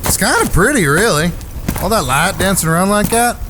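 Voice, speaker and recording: A man's voice: high voice, lively intonation, fairly quick, background noise. A man playing a breezy, trigger-happy outlaw brother in a Western audio drama, folksy and chatty. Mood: wry, unhurried. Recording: music under the voice. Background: music.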